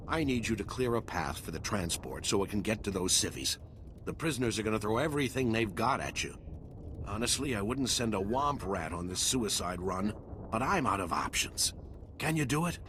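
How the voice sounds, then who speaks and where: An actor playing a weary, grim rescue commander in a video game, urgent and plain-spoken. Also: male voice, fairly low pitch, expressive, moderate pace, fairly bright tone. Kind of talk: video game character acting. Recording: clearly noisy ambient game background.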